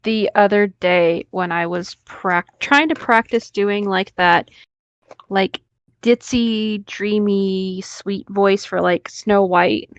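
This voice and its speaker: A woman's voice: mid-range voice, fairly even intonation, takes it slowly, dry recording, muffled recording. Woman reading stories, close dry sound. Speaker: co-host reading online stories aloud. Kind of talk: story reading with casual banter.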